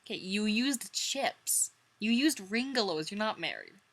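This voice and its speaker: A woman's voice: medium pitch, sing-song, unhurried, fairly bright tone. A young woman reading a story dramatically, theatrical and self-mocking, with wry asides. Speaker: vlogger reading own old fan fiction.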